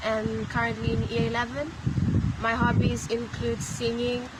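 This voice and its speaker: A sixteen-year-old girl introducing herself, measured and flat. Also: a little high. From Fiji. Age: teens. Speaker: panelist. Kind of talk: self-introduction. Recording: loud traffic noise.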